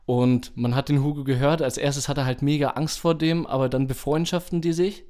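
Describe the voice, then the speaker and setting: A man's voice: mid-range voice, fairly even intonation, brisk, a little mellow. A man hosting a podcast in casual conversation, speaking informally and with enthusiasm. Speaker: podcast host. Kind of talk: casual chat.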